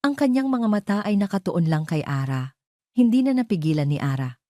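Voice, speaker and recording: A woman's voice: mid-range voice, expressive, fairly quick, warm tone, no room sound, studio quality. A woman narrating a scripted romantic drama story as a storyteller.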